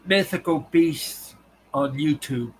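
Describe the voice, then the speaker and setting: A man hosting a casual livestream, talking haltingly with a tired, dry voice. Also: fairly high pitch, slightly rough. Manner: halting, restarts often. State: voice tiring and dry. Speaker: livestream host. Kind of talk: casual live chat with viewers.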